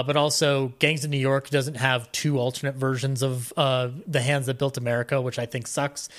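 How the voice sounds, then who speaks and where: A man's voice: medium pitch, fairly bright tone. A man talking casually as a podcast guest, rambling along with a fan's enthusiasm. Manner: rambling, enthusiastic fan talk. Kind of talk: casual interview chat.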